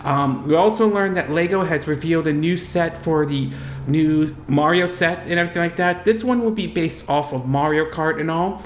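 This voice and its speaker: A man with a fairly high voice, affected by a cold, hosts a gaming news video in a roomy, echoing space. He rambles through his commentary off the cuff, halting and correcting himself as he goes. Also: slight background noise. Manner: rambling, halting, self-correcting delivery with repeated verbal tags. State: fighting off a cold. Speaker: YouTube gaming news host.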